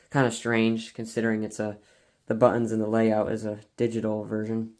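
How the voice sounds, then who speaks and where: A man's voice: fairly low pitch, monotone, normal speed, darkish tone. Casual, rambling enthusiast guy. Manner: rambling, enthusiastic, stumbles over words. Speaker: collector showing weather radios and scanners. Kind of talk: casual collection showcase vlog.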